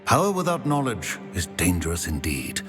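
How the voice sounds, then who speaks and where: A very deep, grave old male professor of wizardry for a video game. He is formal and measured, yet his intonation moves expressively, with faint music underneath. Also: wildly swooping pitch. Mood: grave, courteous. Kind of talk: video game cutscene acting.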